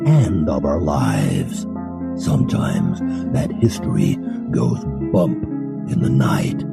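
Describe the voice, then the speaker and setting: A man's voice: big pitch swings, slow. A man doing a dramatic, scripted intro voice-over as the show announcer. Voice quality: deep gravelly. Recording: music bed under voice.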